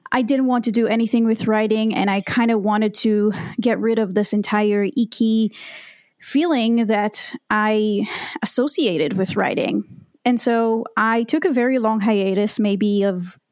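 A woman's voice: mid-range voice, steady tone, a little slow, a little mellow, no echo, studio quality. A woman, a podcast guest telling her story in a reflective, candid way. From Israel. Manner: reflective and candid, with frequent ums and restarts. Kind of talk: conversational storytelling.

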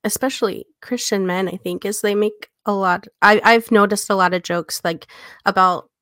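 Female podcast co-host, medium pitch, conversational and reflective, recorded dry with no room sound. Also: steady tone, moderate pace, a bit bright, no echo. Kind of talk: casual book discussion, personal reflection.

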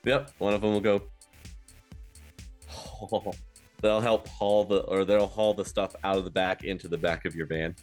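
A man runs a tabletop role-playing game as its game master. His voice is fairly deep and casual, and he pieces the narration together haltingly over quiet music. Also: fairly even intonation. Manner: casual, halting, pausing mid-sentence.

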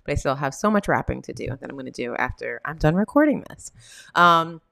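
A woman's voice: deep voice, lively intonation, a little fast, gravelly, sharp tone, no echo, clean studio sound. A woman in her thirties hosting a casual solo podcast, chatty with many fillers and restarts, sounding nasal from a coming cold. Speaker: podcast host and therapist.

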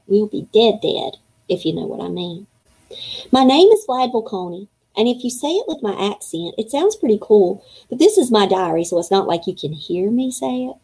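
A young boy vampire spills his secrets into his diary in a confiding storybook voice, as a woman reads him aloud. The tone is mellow and a little husky. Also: mid-range voice, low-quality recording. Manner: confiding first-person storybook reading. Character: eleven-year-old boy vampire keeping a diary.

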